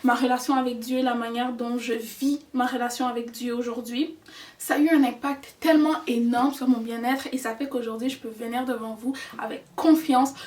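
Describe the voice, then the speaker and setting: A woman's voice: fairly high pitch, darkish tone, far from the mic. A young woman giving earnest, chatty life advice in a vlog, slipping in English words. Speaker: vlogger.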